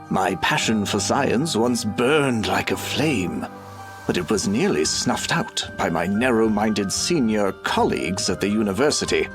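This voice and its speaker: An obsessed scientist in a mystery game. He delivers a bitter, brooding monologue in a widely varying voice, with music clearly behind him. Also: mid-range voice. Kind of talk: video game narration monologue.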